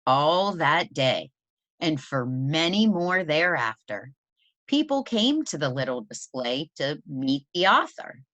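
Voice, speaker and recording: A woman reading a children's story aloud as a teacher would, in an expressive, deliberate manner for young listeners. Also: low voice, no echo.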